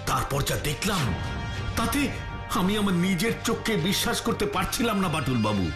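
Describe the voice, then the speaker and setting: A man's voice: sits high, very animated, fairly quick. A man voicing a distressed, dignified village landlord in a cartoon, pleading and dramatic. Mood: aggrieved, recounting. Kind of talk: cartoon voice acting in Bengali. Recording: roomy echo, music underneath.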